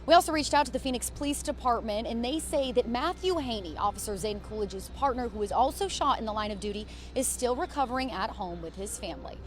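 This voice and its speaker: An American woman reports live for television news. Her voice is somewhat high, in the style of a field correspondent. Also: animated, quick pace, hardly any pauses.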